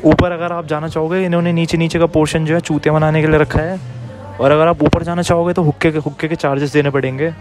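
A young man vlogging a cafe review on a phone held at arm's length, talking in a brash, mocking, slangy way. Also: fairly high pitch. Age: young adult. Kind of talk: casual cafe review vlog. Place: busy cafe indoors. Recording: loud music and crowd in cafe.

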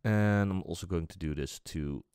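A man with an extremely low, smooth voice walks through a software tutorial in a casual way. He explains step by step, feeling out his sentences as he goes. The sound is dry and close to the mic. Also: moderate pace, no room sound. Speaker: music production tutorial presenter.

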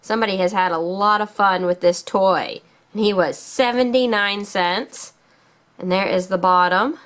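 A woman's voice: mid-range voice, fairly even intonation, normal speed, fairly bright tone. A woman hosting a casual toy showcase for children, speaking enthusiastically in simple phrases. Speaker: children's toy channel host.

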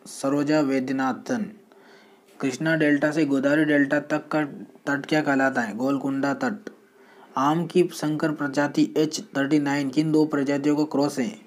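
A man presenting a general knowledge quiz, reading each question and its answer in a steady voice with short restarts. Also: a little high, moderate pace.

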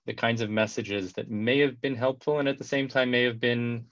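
A man's voice: mid-range voice, fairly even intonation, no room sound. Effusive male host on a dull video call. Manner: warm, effusive, halting with repeated words. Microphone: headset.

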